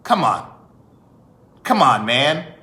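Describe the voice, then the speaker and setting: Man, animated swooping pitch, bright forceful tone, emphatic vlog monologue, rhetorical questions. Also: slow. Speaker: vlog host. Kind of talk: opinion monologue to viewers. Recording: echoey room.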